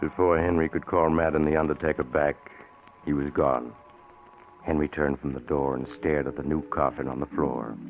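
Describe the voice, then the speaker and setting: A man's voice: a bass voice, fairly even intonation, normal speed, soft background music. A man narrating a suspense tale in a grave, dramatic vintage radio style. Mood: grave, ominous. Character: grave male narrator of mystery tales. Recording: noisy, tinny old radio recording.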